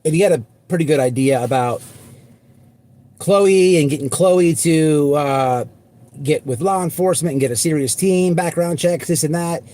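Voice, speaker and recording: A man hosting an unscripted livestream, talking bluntly and repetitively to his viewers, with halting false starts. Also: a little high, dark tone. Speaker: livestream host.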